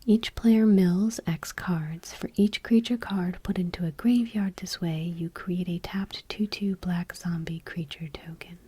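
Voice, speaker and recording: A woman softly reading aloud for ASMR in a breathy, whispery voice with a hushed, gentle delivery. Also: fairly low pitch, measured pace, few pauses. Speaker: ASMR video host.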